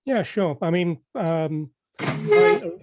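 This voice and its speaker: Older english guy from merseyside chatting in an interview, lots of uhs and restarts, mellow tone. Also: sits high, huge pitch swings, vocal fry, no room sound. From Merseyside, England. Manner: measured, hesitant with many fillers and restarts. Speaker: interview guest. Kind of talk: conversational interview.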